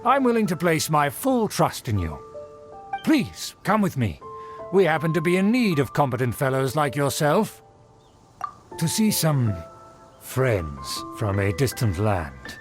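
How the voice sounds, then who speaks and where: A man's voice: a little high, sing-song, unhurried, music in the background. An actor voicing a haughty nobleman of a fallen clan in a video game, with formal, grandiose diction. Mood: smug, courteous. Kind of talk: scripted video game voice acting.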